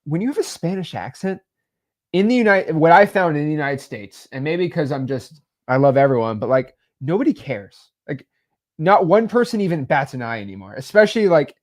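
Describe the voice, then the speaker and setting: Animated young man, rambling casual advice. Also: fairly high pitch, brisk. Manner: rambling, many fillers, animated swings in loudness. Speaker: podcast co-host. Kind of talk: casual business advice chat.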